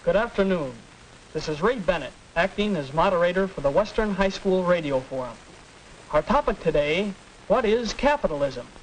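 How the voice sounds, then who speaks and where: Formal american student radio host, high boyish pitch, measured 1940s announcing, hissy old film. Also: male voice, animated, poor audio. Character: formal young high school forum moderator. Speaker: radio forum moderator.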